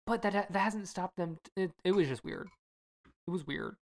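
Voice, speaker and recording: A woman's voice: on the low side, moderate pace. A woman co-hosting a podcast in casual, opinionated chat with plenty of fillers. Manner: relaxed, opinionated, many fillers. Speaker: podcast co-host.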